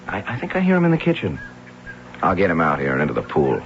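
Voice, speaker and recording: Animated husband in muffled old radio. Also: male voice, sits low, quick pace, heavily acted. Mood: hearty, playful. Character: big good-natured husband, playful then badly shaken. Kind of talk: old-time radio drama acting. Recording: muffled noisy old radio drama recording.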